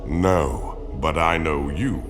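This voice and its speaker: A man playing a big four-armed alien lawman in a video game, speaking formally and deliberately with weighty pauses. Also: rumbling low, noisy background. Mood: calm, measured. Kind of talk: video game cutscene voice acting. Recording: music behind the voice.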